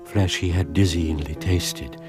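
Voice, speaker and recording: A solemn storyteller in a literary radio drama, with a deep voice and a slow, measured delivery. Music plays clearly behind him. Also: male voice, fairly even intonation. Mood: solemn, hushed. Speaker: narrator.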